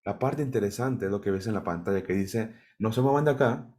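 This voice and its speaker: Guy w a low voice and teaching bible stuff, always asking "right?". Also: fairly quick, a little mellow. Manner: asks the audience questions and checks in with "¿sí?". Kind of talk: sermon-style Bible teaching.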